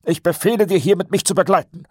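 A man voicing a weary, reluctant older watch sergeant in an audiobook, husky and grudging, with some bluster. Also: high-pitched, fast, a little mellow, no echo, clean studio sound, voice pushed up. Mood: grimly commanding. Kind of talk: audiobook character voice.

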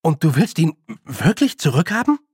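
Man voicing a haughty, nervous young boy, slightly husky. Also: a little high, very animated, moderate pace, a little mellow, dry recording, studio quality, pitched above the performer's own voice, exaggerated voice. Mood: baffled. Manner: hesitant, stammering, slightly haughty. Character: young boy, lordly but naive and nervous. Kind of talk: audiobook character voice.

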